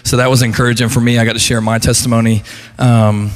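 A man serving as a student pastor speaks earnestly in church, pausing often with fillers. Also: medium pitch, little pitch movement. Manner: earnest, hesitant with many ums. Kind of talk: casual church testimony. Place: church sanctuary. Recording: long hall reverb.

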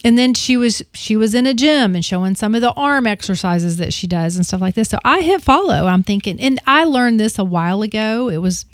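Woman, fifties, radio host, casual confessional chat, middle pitch, dry close sound. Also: animated, fairly quick, few pauses, dry recording. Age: 50s. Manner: chatty, confessional, many restarts.

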